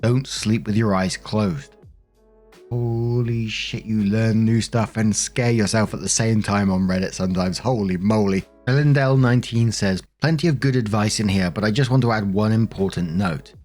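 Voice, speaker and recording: A man with a fairly low voice narrates online stories for listeners. He reads posts and comments aloud in a casual host style. Music plays faintly behind him. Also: moderate pace, a little mellow.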